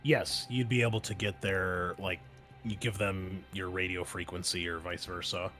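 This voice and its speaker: A man's voice: low voice, steady tone, moderate pace, pushed and bright, faint music behind. A man running a tabletop game as game master, narrating casually with many fillers.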